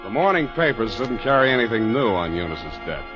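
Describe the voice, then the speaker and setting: An actor playing a hard-boiled, wisecracking private detective in a 1940s radio drama, blunt and wry, on a dull old recording. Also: male voice, lowish voice, sing-song, a little fast, music in the background. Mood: wry narration.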